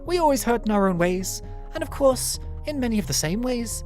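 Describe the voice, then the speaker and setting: A woman playing a solemn, philosophical game character in an earnest, reflective, halting monologue. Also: on the high side, big pitch swings, a little mellow, faint music behind. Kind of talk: dramatic monologue reading.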